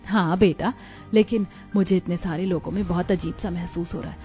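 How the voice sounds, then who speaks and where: A woman's voice: lowish voice, fairly even intonation, a little fast, mellow, close to an everyday voice. Woman playing a subdued anxious mother, music behind. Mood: uneasy, worried. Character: humble, anxious middle-aged mother of poor family.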